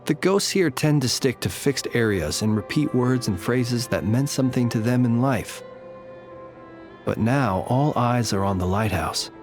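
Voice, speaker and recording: A man voicing a calm, courtly lightkeeper in a video game, speaking in formal, measured, old-fashioned phrases. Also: medium pitch, soft background music, natural voice. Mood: grave.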